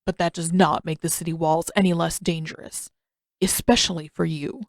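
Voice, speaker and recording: A woman voicing a stern, proud old male master in a story reading, speaking in a formal, measured, condescending way. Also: fairly low pitch, steady tone, no echo, studio quality, pitched below the performer's own voice, natural voice. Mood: grave, scolding. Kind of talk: audiobook-style fan fiction character reading.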